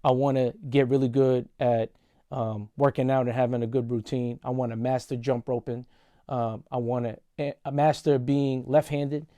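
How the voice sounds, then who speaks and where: Laid-back man vlogging, warm voice. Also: medium pitch, dry recording. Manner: relaxed, conversational, says "y'all" often. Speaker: podcast host and referee. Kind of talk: casual vlog monologue.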